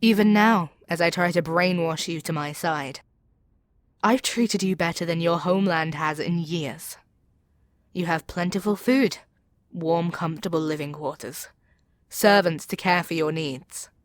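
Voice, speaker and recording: A woman's voice: lowish voice, a little slow, dry recording, close to an everyday voice. A woman playing a proud, seductive demon queen in an ASMR audio roleplay, speaking in an imperious, persuasive, theatrical way. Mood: indignant, persuasive. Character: proud, seductive demon queen brainwashing her captive hero.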